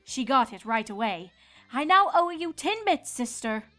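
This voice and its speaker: A woman's voice: high voice, very animated, normal speed, sharp tone, faint music behind, voice pushed up, full character voice. A woman voicing a proud, blunt younger pony princess from a storybook, with old-fashioned, declamatory phrasing. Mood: resigned.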